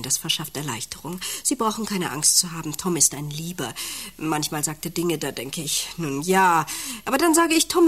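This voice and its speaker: An actress playing a volatile, possessive wife who coos and commands in a satirical radio drama. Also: female voice, mid-range voice, fairly bright tone. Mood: urgent, confiding. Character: volatile, possessive wife, by turns cooing, bossy and desperate.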